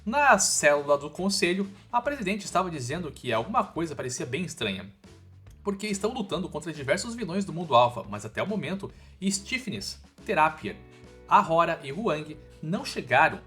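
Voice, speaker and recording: A man's voice: on the high side, sing-song, normal speed, bright, forceful tone. A man with a Brazilian accent narrating a scripted story recap in a colloquial, informal way with casual asides. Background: background music.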